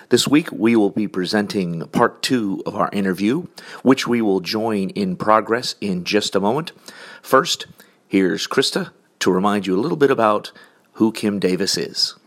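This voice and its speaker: Low, husky male podcast host. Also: unhurried. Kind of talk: scripted show introduction.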